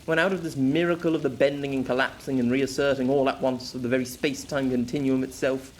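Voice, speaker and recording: A man narrating a story as an amateur audiobook reader, theatrical, wry and expressive. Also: medium pitch, fast, a little hiss or hum.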